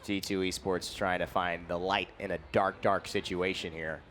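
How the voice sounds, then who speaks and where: A man's voice: low voice, little pitch movement, normal speed, a bit bright, a little hiss or hum. A man casting a live esports tournament, mixing playful banter with game analysis. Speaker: esports caster. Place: esports expo hall arena.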